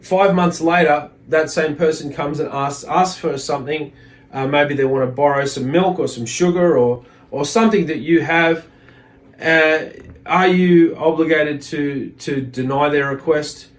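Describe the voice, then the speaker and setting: A man's voice: a little high, a little slow. A man giving an informal religious lesson, reading aloud and explaining in a hesitant, reflective manner. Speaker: religious teacher.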